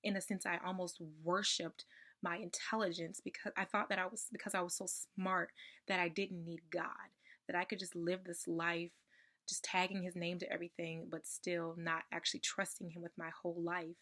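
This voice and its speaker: A young woman getting over a cold, her voice slightly raspy, sharing her personal testimony in a soft-spoken way with frequent ums. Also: on the low side, flat delivery, moderate pace. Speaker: ministry member sharing testimony.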